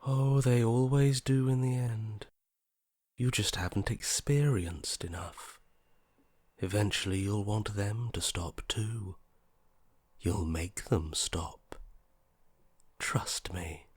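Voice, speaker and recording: An actor playing a detached, morbidly curious inner voice in a video game, with a languid, blasé delivery that softly trails off. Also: male voice, lowish voice, slow pace, pauses often, slightly rough, no room sound, clean studio sound. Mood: detached, dismissive. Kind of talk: video game character voice acting.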